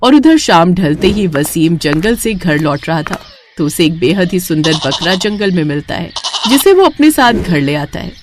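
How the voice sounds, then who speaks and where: A woman's voice: on the low side, sing-song, normal speed, slight background noise. A woman narrator reading a scripted moral story for children.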